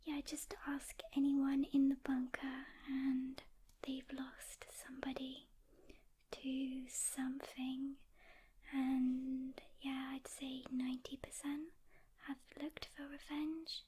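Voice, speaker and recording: A woman playing a calm, weary demon hunter mentoring a newcomer in a gentle, hesitant whisper, as soft-spoken ASMR roleplay. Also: sits high, takes it slowly, slight background noise. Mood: sympathetic, cautioning.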